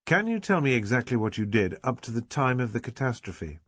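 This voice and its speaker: A man reading aloud and voicing a calm police inspector who is dry and polite, with a low, quiet, level voice. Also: a little fast, no echo.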